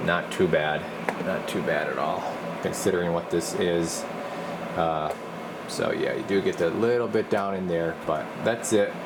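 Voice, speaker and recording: Male technician, deep-ish fry-edged voice, informal demo narration, noticeable background hum. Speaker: radio repair technician demonstrating his work. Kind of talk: casual hands-on bench demonstration. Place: indoor radio workbench.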